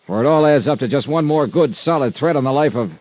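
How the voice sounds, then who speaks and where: An actor playing a brisk, hard-boiled insurance investigator in a 1950s radio drama, with punchy, impatient delivery. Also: male voice, medium pitch, close to an everyday voice. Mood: dramatic. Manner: punchy, impatient, clipped questioning. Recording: dull vintage radio recording.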